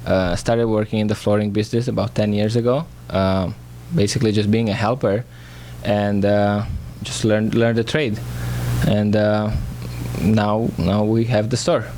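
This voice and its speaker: A man's voice: on the low side, little pitch movement, measured pace, dark tone, a little hiss or hum. A man with a Romanian accent, a native Romanian speaker, answering as a guest in a radio studio with short, hesitant replies full of fillers. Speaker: flooring business owner.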